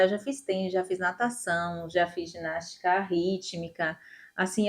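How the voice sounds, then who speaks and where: Chatty woman. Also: medium pitch. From Salvador, Bahia, Brazil. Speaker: police chief, guest. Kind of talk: interview answers, casual storytelling.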